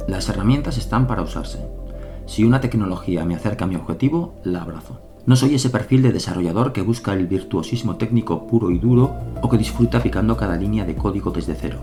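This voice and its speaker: Low-voiced Spanish man narrating a devlog. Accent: Spain Spanish. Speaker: solo game developer. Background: background music.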